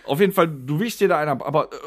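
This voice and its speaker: A man's voice: fairly high pitch, expressive, rapid-fire. A man hosting a podcast in casual, joking chat, with a crude, slangy way of talking. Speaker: podcast host.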